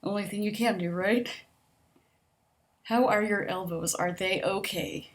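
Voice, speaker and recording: A soft-spoken woman talks casually through a list of questions, pausing often with ums and likes. Her voice is quiet, and a mild stutter catches on a word now and then. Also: mid-range voice. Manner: hesitant, with frequent ums and likes, laughs softly. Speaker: vlogger answering a survey. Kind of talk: casual chat.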